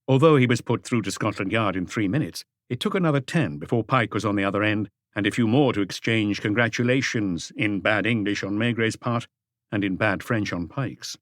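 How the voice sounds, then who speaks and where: A man's voice: lowish voice, brisk, dry recording, studio quality. A man reading a detective novel aloud as an audiobook narrator.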